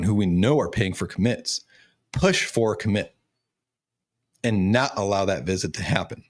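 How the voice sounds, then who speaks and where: Man in his thirties with a cracking voice. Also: mid-range voice. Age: 30s. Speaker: sports show host and recruiting analyst. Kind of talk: college football recruiting commentary.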